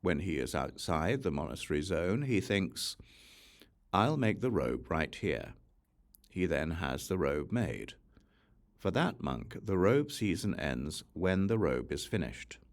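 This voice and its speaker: A man reading a scriptural audiobook in a measured, reciting manner, breaking sentences into short phrases. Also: sits low, sing-song. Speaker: audiobook reader.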